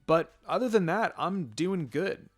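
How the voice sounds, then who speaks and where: A man's voice: sits high, expressive, a little slow, pitched above the performer's own voice. A man voices a kindly male ninja in an anime-style story. He is loyal and humble, with a polite, modest way of talking. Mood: friendly, modest.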